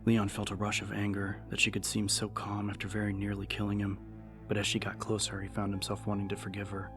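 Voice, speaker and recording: A man narrating dramatic fiction as an audiobook, with measured, suspenseful delivery. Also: fairly low pitch, monotone, music in the background.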